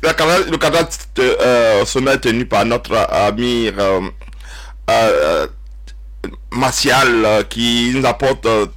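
A man hosting a religious radio talk show, often pausing and repeating his words. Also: fairly high pitch, a bit bright. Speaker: radio host.

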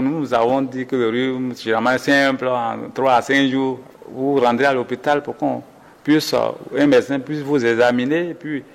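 A male doctor giving health advice in an interview, hesitant and full of fillers and self-corrections. His voice sits mid-range in pitch. The sound trails off in a long reverb. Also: creaky. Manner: hesitant, many fillers and restarts, advising listeners.

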